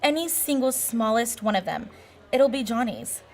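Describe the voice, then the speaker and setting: An actress playing a genteel, heartbroken wife in an old radio drama, pleading with halting, melodramatic emphasis. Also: female voice, a little high, animated. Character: heartbroken, genteel married woman, sentimental and pleading. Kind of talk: 1940s radio drama acting.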